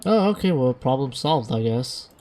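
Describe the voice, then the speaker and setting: A man's voice: mid-range voice, expressive, moderate pace, darkish tone, a little hiss or hum. A man giving casual gameplay commentary as a streamer, reacting in an offhand way. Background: game music.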